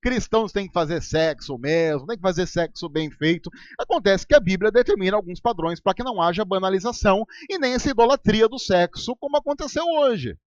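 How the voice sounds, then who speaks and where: A man's voice: sits high, animated, a little fast, few pauses, no echo, dull audio. A man preaching a sermon as pastor in a Brazilian Portuguese accent, talking conversationally with sarcastic asides and ironic voices. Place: church hall.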